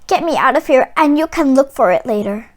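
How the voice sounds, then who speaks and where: A woman's voice: high-pitched, lively intonation, brisk. A woman voicing a bold, folksy talking jukebox with sassy, theatrical delivery in a children's storybook. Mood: urgent, scheming. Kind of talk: children's storybook read-aloud.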